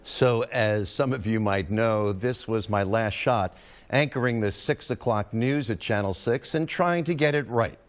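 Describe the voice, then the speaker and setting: Hoarse older news anchor, low voice. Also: male voice. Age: 70s. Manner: measured, reflective, steady delivery. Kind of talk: live news anchoring and farewell address.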